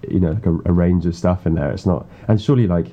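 Very deep, dark, husky young man. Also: fast. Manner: curious, asks probing questions. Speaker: interviewer. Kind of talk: casual chat. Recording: muffled, dull sound.

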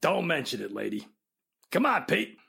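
Gravelly, gruff delivery tough played by a man. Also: on the high side, big pitch swings, normal speed, bright, forceful tone, heavily acted. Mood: brusque. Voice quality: raspy, gravelly. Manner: brusque street-tough slang. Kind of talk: 1940s radio drama acting.

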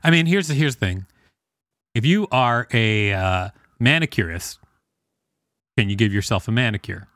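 A man's voice: fairly low pitch, animated, normal speed, pauses often, fairly bright tone, no echo, studio quality. A man co-hosting a podcast, reading aloud and chatting in a wry, conversational way. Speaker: podcast co-host. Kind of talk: reading an encyclopedia article aloud with casual banter.

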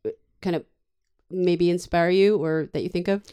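A woman's voice: fairly low pitch, fairly even intonation, normal speed, warm tone, smooth. A woman hosting a podcast, chatting casually with a guest, rambling and laughing often. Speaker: podcast host. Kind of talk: casual interview chat.

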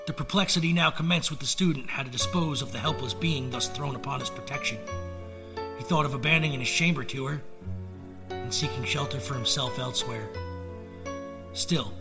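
A man narrating a gothic tale as a storyteller, measured and theatrical. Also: medium pitch, gravelly. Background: musical score.

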